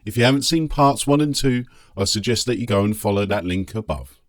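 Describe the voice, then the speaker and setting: A man narrating a scripted fantasy audiobook dramatically, with measured, emphatic phrasing. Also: lowish voice, lively intonation, no echo, clean studio sound.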